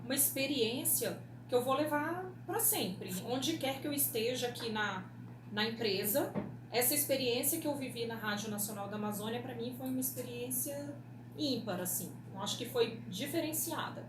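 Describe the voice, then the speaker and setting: Woman, Brazilian accent, fairly high voice, casual interview answers, echoey room. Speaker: radio producer. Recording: echoey room, clear background noise.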